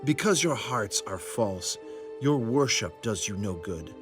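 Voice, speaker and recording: Stern, impassioned prophet, declamatory and lively in intonation, for a dramatized Bible story. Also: male voice, on the low side, unhurried, music in the background. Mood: stern warning. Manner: solemn, declamatory. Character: stern, impassioned male prophet warning his people.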